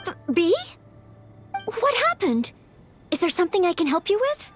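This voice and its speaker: An actress playing a polite, eager young maid who dreams of knighthood in a video game, speaking with apologetic hesitance. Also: female voice, very high-pitched, sing-song, moderate pace, music in the background. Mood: helpful, flustered.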